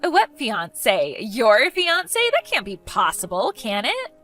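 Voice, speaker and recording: A woman's voice: high voice, wildly swooping pitch, moderate pace, fairly bright tone, full character voice. Woman playing a catty sister-in-law, wildly swooping intonation, condescending, melodramatic story acting, music behind. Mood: shocked disbelief. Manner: exaggerated, condescending, incredulous. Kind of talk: dramatized text-message story voice acting.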